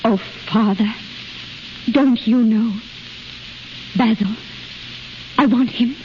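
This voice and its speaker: A woman's voice: on the high side, steady tone, slow. A woman acting a proud, anguished young daughter, intense and earnest in a 1930s radio drama. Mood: anguished, impassioned. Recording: muffled, noisy 1930s radio recording.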